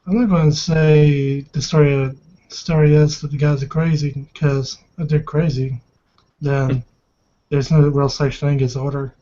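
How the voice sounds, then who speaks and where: A man's voice: on the high side, flat delivery, a little slow, mellow. A man in casual, rambling, informal chat as a player in a tabletop roleplaying game. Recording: dull lo-fi voice recording.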